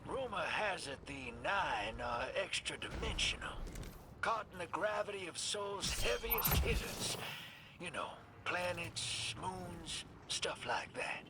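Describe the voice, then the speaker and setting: A man playing a sly, streetwise space rogue in a video game, drawling theatrically with teasing pauses. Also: high voice, lively intonation. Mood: sly, conspiratorial. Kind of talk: video game dialogue acting. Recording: loud vehicle-like ambient noise behind.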